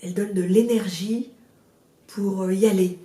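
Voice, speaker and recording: A woman in her sixties with a cold, chatting in an interview about her first novel, hesitant with many fillers and restarts but lively in bursts. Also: medium pitch, unhurried, roomy sound, recorded from a distance, low-quality recording. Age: 60s. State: has a cold. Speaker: guest, novelist. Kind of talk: casual interview chat.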